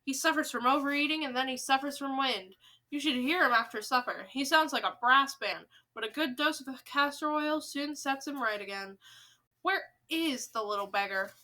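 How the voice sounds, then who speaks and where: A woman's voice: high voice, normal speed, bright, forceful tone. A woman voicing a blustering, indignant, furious middle-aged father in a storybook. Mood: scornful. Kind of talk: storybook character voice.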